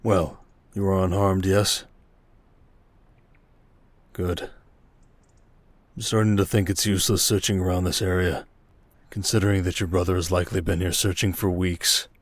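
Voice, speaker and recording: A man playing a stern, protective merchant lord in a roleplay audio drama, speaking in a dry, measured, commanding way. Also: sits low, little pitch movement, no echo. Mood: weary, calm.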